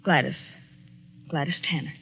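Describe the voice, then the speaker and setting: A woman's voice: deep voice, normal speed, creaky, a little hiss or hum. An actress playing a despondent, jilted young woman, wary and bitter, giving guarded replies in a 1940s radio drama style. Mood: dejected, hurt. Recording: muffled old-time radio recording.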